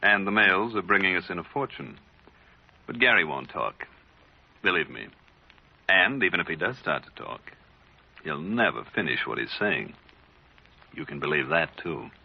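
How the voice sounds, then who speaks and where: A crooked American boss from a 1940s radio crime drama, smug and condescending. His low voice moves with lively emphasis and brisk self-assurance. The recording is dull and muffled, like vintage radio. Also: creaky, exaggerated voice. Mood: coolly menacing. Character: smug, crooked male boss of a charity racket.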